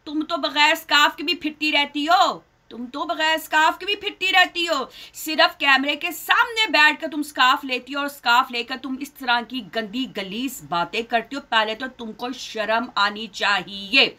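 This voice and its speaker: A woman's voice: high-pitched, moderate pace, hardly any pauses, pushed and bright. A middle-aged woman giving heated commentary to the camera, scolding and indignant, often repeating phrases for emphasis. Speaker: reaction vlogger.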